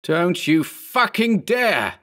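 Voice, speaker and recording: A man voicing an agitated, panicky thirty-year-old man in an audiobook story, yelling in exasperation. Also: high-pitched, very animated, slow, pitched above the performer's own voice, exaggerated voice. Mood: alarmed.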